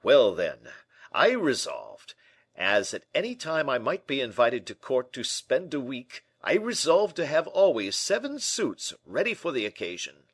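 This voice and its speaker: A man voicing a vain, rich, big nobleman in an audiobook, slow, emphatic and melancholy. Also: medium pitch, huge pitch swings, a bit bright, slightly rough, voice pushed up, full character voice. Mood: proud, emphatic. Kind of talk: audiobook character acting.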